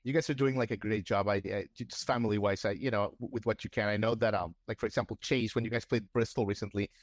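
A man's voice: lowish voice, fairly even intonation, fast talker, dry recording. A man hosting a podcast interview, asking rambling questions full of restarts and fillers. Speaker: podcast host. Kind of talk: casual interview.

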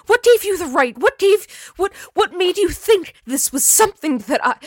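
A woman's voice: medium pitch, lively intonation, slow, no echo, clean studio sound. A woman voicing a furious young ghost woman. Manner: shouting, swearing.

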